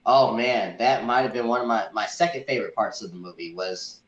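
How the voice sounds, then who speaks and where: A man in a casual movie review chat, talking as an enthusiastic fan and often repeating words haltingly. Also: on the low side. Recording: echoey room, dull muffled audio.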